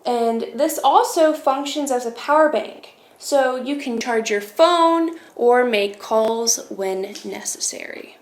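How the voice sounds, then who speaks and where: A woman's voice: on the high side, takes it slowly, few pauses. A woman presenting a casual product demonstration, explaining things step by step.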